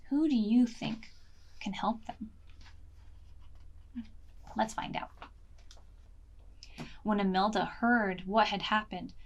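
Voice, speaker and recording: Slow-paced woman reading a children's story. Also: medium pitch. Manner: slow, deliberate, expressive, pausing to ask questions. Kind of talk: animated picture-book read-aloud for preschoolers.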